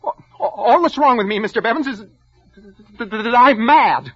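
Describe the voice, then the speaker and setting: An actor playing a meek, touchy, hesitant bookkeeper in an old radio drama, recorded with muffled vintage sound. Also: male voice, extremely high pitch, animated, normal speed, a bit bright, slight background noise. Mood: agitated, defiant. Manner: hesitant, stammering, defensive. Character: meek, jittery, touchy male bookkeeper, henpecked daydreamer. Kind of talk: 1940s radio drama acting with first-person narration.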